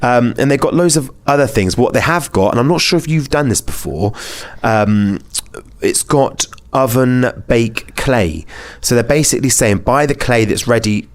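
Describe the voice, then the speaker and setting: A man's voice: mid-range voice, expressive, normal speed. A British man hosting a podcast in casual conversation, chatty and informal, thinking aloud as he goes. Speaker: podcast host. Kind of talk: casual chat.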